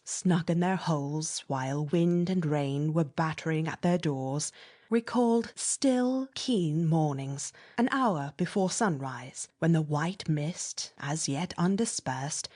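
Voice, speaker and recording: A woman narrating classic literary fiction as a dramatic audiobook reading, expressive and measured, with theatrical emphasis. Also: lowish voice.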